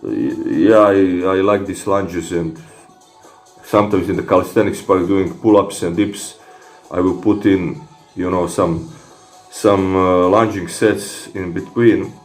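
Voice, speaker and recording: A man with a Croatian accent who speaks Croatian natively, casually answering comments from viewers in a fitness vlog, halting with many fillers and restarts. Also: low-pitched, fairly even intonation, reverberant, soft background music. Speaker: fitness vlogger.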